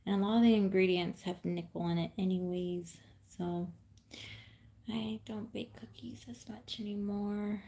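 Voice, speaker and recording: Thirties woman, casual home streamer, slow rambling delivery, lots of ums and soft laughs. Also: mid-range voice, fairly even intonation. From California. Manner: knits while talking. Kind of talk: casual live-stream chat.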